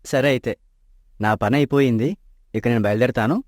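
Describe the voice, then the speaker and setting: A man plays a humble young bookkeeper who speaks with polite respect to his employer. His voice has an ordinary male pitch and sounds dry and close, with no room at all. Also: normal speed, darkish tone, no room sound, lightly acted. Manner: polite and deferential. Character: honest, modest young shop accountant. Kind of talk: animated storybook dub. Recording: dry close studio mic.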